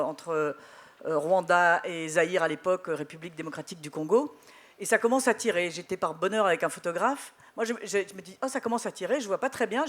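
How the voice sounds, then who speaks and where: A woman from Belgium, a journalist guest on a festival panel, telling animated anecdotes with wry humor. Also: on the low side. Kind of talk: live panel conversation.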